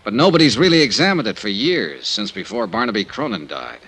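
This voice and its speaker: Casting: American hard-boiled investigator, low voice with lively swings, wry, clipped, vintage radio drama. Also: male voice, fairly quick, creaky, breathy edge, heavily acted. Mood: matter-of-fact, grim. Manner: clipped, matter-of-fact, wry. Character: hard-boiled male freelance insurance investigator, worldly, unsentimental. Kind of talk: 1950s radio drama acting, first-person detective narration.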